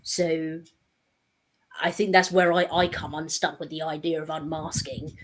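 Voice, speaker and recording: A woman's voice: sits low, level tone, a little slow, slight background noise. A woman in a casual panel discussion, talking in a reflective, conversational way.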